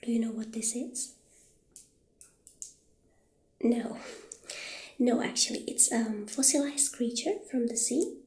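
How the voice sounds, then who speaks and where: Woman as friendly witch shopkeeper for ASMR roleplay: soft, breathy, unhurried, slightly high, roomy sound. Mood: softly admiring. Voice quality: soft, breathy, half-whispered. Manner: gentle, unhurried, hesitant pauses. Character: friendly witch shopkeeper showing off potion ingredients.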